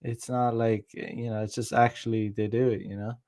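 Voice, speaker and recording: A man's voice: lowish voice, level tone, moderate pace, darkish tone. An Irish man hosting a podcast, talking in a loose, profane monologue full of restarts and fillers. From Ireland. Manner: rambling, profane, many restarts. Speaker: podcast host. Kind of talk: casual livestream monologue.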